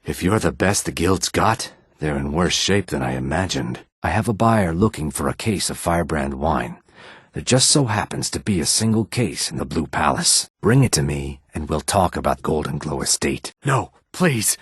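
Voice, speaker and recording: A very low, raspy lizard-man from a fantasy video game. He is a sly but courteous townsman who speaks in measured, formal phrases. The recording is close and dry. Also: lively intonation, no echo, exaggerated voice. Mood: panicked, pleading. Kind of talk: scripted video game dialogue acting.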